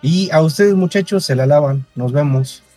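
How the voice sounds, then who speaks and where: Brief: early-twenties Mexican co-host, higher-pitched, quick excitable reactions, faint music. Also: male voice. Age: 20s. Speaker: podcast co-host. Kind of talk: casual chat among friends.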